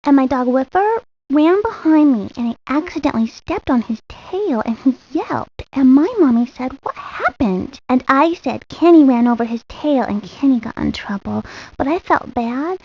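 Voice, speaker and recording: A woman's voice: high voice, lively intonation, moderate pace. A woman voicing a sweet little ballerina girl in a cartoon video game, girlish and confiding, rambling like she shares secrets. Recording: dull lo-fi 1990s game audio.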